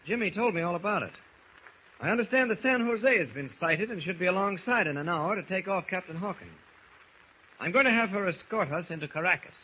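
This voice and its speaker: An actor playing an upright, shrewd hero in civilian guise, speaking earnestly and firmly in a 1940s radio drama. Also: male voice, high voice, expressive, brisk. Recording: muffled, noisy old radio recording.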